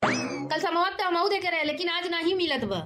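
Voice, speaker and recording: A woman's voice: moderate pace, music in the background. A woman voicing a scolding, bossy village auntie in a cartoon, with a rustic Awadhi accent and a piercing voice. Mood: fretful. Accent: Awadhi, eastern Uttar Pradesh. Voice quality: shrill, piercing. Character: scolding, bossy village auntie hunting her stolen goat. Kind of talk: cartoon dialogue in rustic dialect.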